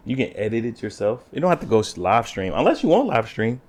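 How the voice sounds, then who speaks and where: A man co-hosting a podcast, chatting casually with friends and teasing them deadpan. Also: medium pitch, fast talker. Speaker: podcast co-host. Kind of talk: casual chat.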